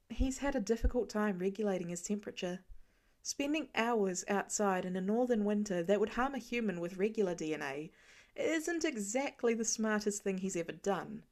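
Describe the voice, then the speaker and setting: A woman narrating a piece of fan fiction as an audiobook, in a steady, gentle storytelling manner. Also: mid-range voice. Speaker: podfic reader.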